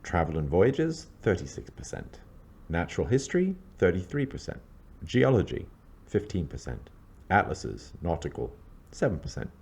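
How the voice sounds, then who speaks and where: A man reading scripted narration aloud in a measured, scholarly, documentary-like way. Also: deep voice, wildly swooping pitch, creaky, darkish tone, slightly rough.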